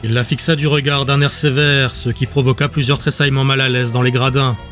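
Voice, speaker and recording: A man's voice: medium pitch, flat delivery, fairly quick, fairly bright tone. A man reading scripted fiction as an audiobook narrator. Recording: music bed behind the voice.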